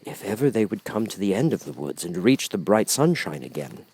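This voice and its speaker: A man reading a children's storybook aloud as an expressive audiobook narrator. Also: fairly low pitch, fairly quick, breathy edge.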